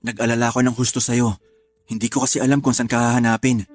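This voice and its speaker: An actor voicing a gentle older brother after a breakup. His voice sits in an ordinary middle range, quiet and sighing, with self-blame running through it. Soft music plays beneath him. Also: medium pitch, flat delivery, quick pace, lightly acted. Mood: sad. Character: heartbroken, gentle older brother, young man. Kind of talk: radio drama acting.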